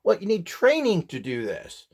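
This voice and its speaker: A man with a slightly high voice and very lively, swinging intonation gives an informal instructional demonstration. He is blunt and opinionated, pressing his points with emphasis. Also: normal speed, slightly rough. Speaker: instructor selling courses and devices.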